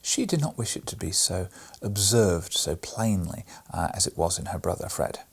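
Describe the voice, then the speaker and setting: A man reading a classic children's story aloud as a bedtime audiobook, narrating softly and often in a breathy, whispered way. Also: low voice, animated, normal speed.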